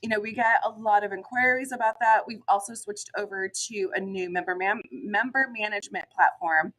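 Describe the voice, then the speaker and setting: Hoarse woman host with a cold, mid-pitched, chatty and loose, filler-heavy solo update. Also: fairly even intonation, a little fast, fairly bright tone, rough recording. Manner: chatty, many ums and you-knows. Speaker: chamber of commerce director hosting a morning show. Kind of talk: casual solo update to camera.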